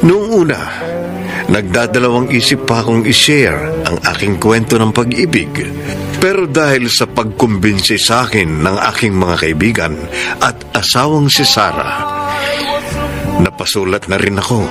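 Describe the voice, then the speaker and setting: A man's voice: on the low side, big pitch swings, normal speed. An actor playing a devoted, grateful husband and new father in a Filipino radio drama, speaking with a Filipino accent. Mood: warm, sincere. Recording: radio drama with loud music bed.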